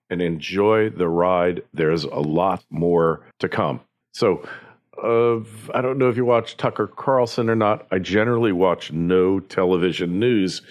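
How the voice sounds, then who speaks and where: American guy with a deep voice doing an opinionated podcast monologue, kinda emphatic and rhetorical. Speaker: podcast host and political commentator. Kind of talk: opinion commentary, partly scripted monologue.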